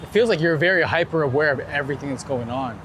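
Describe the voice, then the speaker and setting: Higher-voiced male interviewer, noisy street. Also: fast. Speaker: interviewer, host. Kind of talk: casual interview chat. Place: outdoors on a city street. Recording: noisy city street, traffic.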